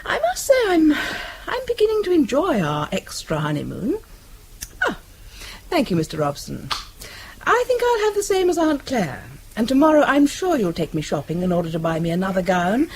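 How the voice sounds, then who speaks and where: A woman playing a playful, teasing, well-bred British wife with an arch, genteel, expressive manner in a radio drama. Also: on the high side, moderate pace, slight background noise, rough recording.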